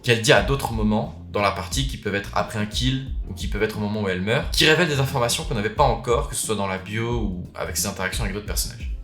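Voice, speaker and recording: A man with a fairly low voice talks very quickly as he hosts an explanatory video. His commentary is informal and enthusiastic. The room echoes noticeably around him, with music underneath. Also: a bit bright. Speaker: video host. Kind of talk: casual explanatory commentary on game lore. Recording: roomy echo, music underneath. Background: background music.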